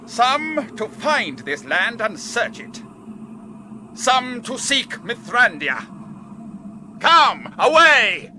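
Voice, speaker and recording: An actor playing a menacing undead rider in a radio fantasy drama, commanding and drawn-out in his threats. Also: very high-pitched, pushed and bright, slight background noise, heavily acted. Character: menacing male undead rider, servant of the Dark Lord.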